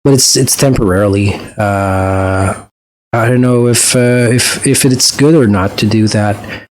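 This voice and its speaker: A man's voice: fairly low pitch, measured pace, rough recording. A man with a French accent, a native French speaker, talking through a reaction video in a hesitant, reflective way with many fillers and restarts. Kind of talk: casual reflective monologue.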